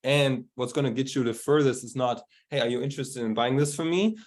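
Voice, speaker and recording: An upbeat man hosts a group coaching session over a video call. He talks casually and hypes up the people he introduces, in a voice of ordinary pitch. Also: fairly quick. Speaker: host of online group call.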